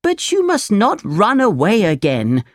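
A woman's voice: mid-range voice, wildly swooping pitch, measured pace, dry recording, studio quality, voice pushed up. A woman voicing a poor, lonely male woodcarver in a children's storybook, emphatic and fatherly. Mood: firm, caring. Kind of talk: children's storybook character reading.

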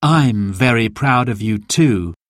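A man's voice: medium pitch, lively intonation, slow pace, dry recording, clean studio sound. A man voicing a kind, welcoming mother in a storybook reading.

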